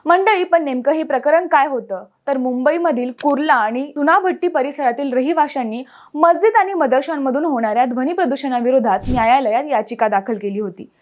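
A woman news presenter, reading a scripted news explainer in a clear, measured way. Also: high-pitched, darkish tone, lo-fi sound.

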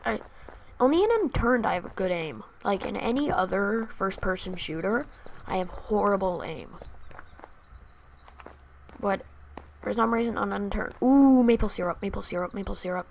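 A woman talks through her game in a relaxed, thinking-aloud way, as if chatting to her viewers. Her voice sits at a middle pitch with a noticeable gravelly fry, and she speaks into a new desktop microphone. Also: moderate pace, pauses often, slight background noise. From Canada. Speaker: gaming channel host. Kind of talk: casual gameplay commentary.

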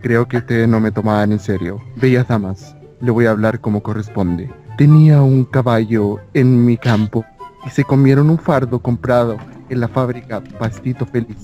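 Guy doing a deep solemn chilean gentleman, very formal prank caller, bit of music behind. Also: fairly even intonation, moderate pace, lightly acted.

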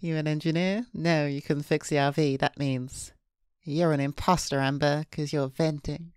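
A woman's voice: sits low, fairly even intonation, moderate pace, clear, resonant tone, no echo, studio quality. A woman streamer reading visual novel narration aloud, with casual asides. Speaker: VTuber streamer. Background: soft guitar game music.

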